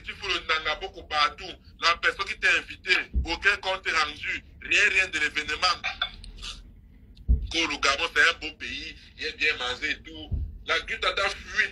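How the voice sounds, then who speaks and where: A woman's voice: deep voice, normal speed, pushed and bright, slightly rough, low-quality recording. A woman hosting a live stream, scolding and mocking someone in an insistent, repetitive gossip rant. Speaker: social media live host.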